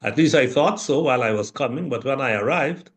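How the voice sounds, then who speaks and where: An older man from Guyana, an interview guest speaking in a measured, thoughtful way with many pauses. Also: mid-range voice, animated. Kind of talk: reflective interview talk.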